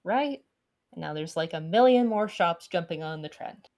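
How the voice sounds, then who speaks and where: A woman's voice: fairly low pitch, expressive, normal speed, a little mellow, voice pushed down. Woman voicing a determined, casual young sleuth. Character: determined, casual woman hunting for a mysterious florist.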